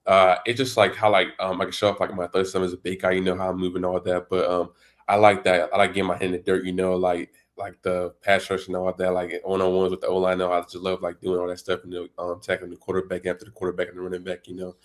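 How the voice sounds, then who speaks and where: A teenage boy from Congo talks in a very low, nearly monotone voice. He rattles along quickly, looping through fillers like "you know" and "and all that" as he answers. His delivery is plain and loose, not polished. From Democratic Republic of the Congo. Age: teens. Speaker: high school football recruit. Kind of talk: casual interview answers.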